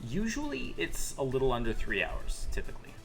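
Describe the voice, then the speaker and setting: A man's voice: medium pitch, huge pitch swings, fairly quick, a bit bright, soft background music. A young man casting a card game stream in joking, teasing commentary with mock-dramatic asides. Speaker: caster.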